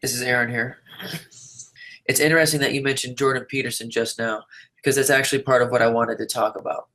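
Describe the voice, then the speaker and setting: A man reading written interview answers aloud in a measured, articulate, declarative way. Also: mid-range voice, steady tone, pushed and bright, poor audio. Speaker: reader of an interviewee's typed answers.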